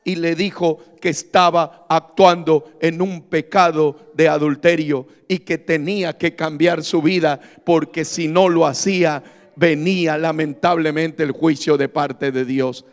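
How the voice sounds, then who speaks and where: A man's voice: a little high, steady tone, a little slow, in a large hall. A man preaching as a pastor, delivering an impassioned, emphatic Bible-study sermon with repeated questions to his congregation. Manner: emphatic, exhorting. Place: large reverberant church hall before a congregation.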